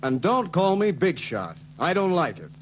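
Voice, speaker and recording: A man's voice: high-pitched, big pitch swings, normal speed. An actor playing an emphatic, henpecked young car salesman in 1940s film style. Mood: exasperated. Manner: emphatic, exasperated. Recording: noisy 1940s film soundtrack.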